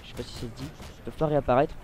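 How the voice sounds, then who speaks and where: A man's voice: medium pitch, steady tone, racing, background noise. A man with a cold, commentating casually over his gameplay at a desk mic, rambling and hesitant with many fillers. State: has a cold. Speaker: gaming YouTuber.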